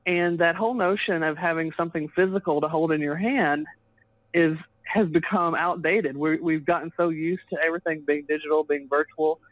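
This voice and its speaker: A woman's voice: deep voice, fairly even intonation, normal speed, no echo, rough recording. A woman with a Texan accent, a guest author and business coach answering interview questions in a chatty, fluent way over a remote call. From Texas, US. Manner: chatty and fluent, often laughs while talking.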